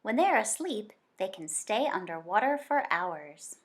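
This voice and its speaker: A woman reads a nonfiction picture book aloud for children. Her intonation is lively and moves widely up and down at a middle pitch. She tells it like a teacher, expressively and deliberately, drawing out key words.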